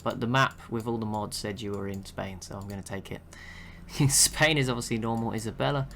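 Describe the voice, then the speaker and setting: A young man giving relaxed, chatty commentary over a strategy game he is watching, laughing to himself now and then. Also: lowish voice, a little fast, gravelly, a little hiss or hum. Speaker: gaming channel host.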